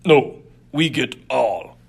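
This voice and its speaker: A man voicing a greedy, menacing alien gang boss who speaks forcefully in clipped, broken sentences, in an audiobook story. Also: mid-range voice, lively intonation, slow pace, gravelly, husky, heavily acted. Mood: threatening.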